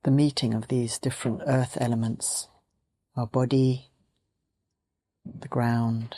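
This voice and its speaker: A woman guides a meditation in a very low, soft-edged, mellow voice. She speaks slowly and gently, offering a few words at a time. Long pauses separate her short phrases. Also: flat delivery. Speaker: meditation teacher.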